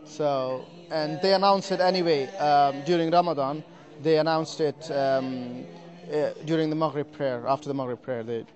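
A man who is a competition entrant, answering interview questions earnestly and hesitantly, with many pauses and repeated words. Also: a little high, a little mellow, lo-fi sound. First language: not Arabic. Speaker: competition participant. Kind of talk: vox-pop interview answers. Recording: chattering crowd behind.

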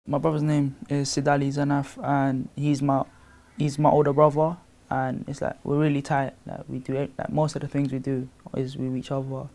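A man's voice: medium pitch, moderate pace. A young man speaking casually in an interview, often saying "like". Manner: flat, casual, says like often. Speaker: interviewee, protester's brother.

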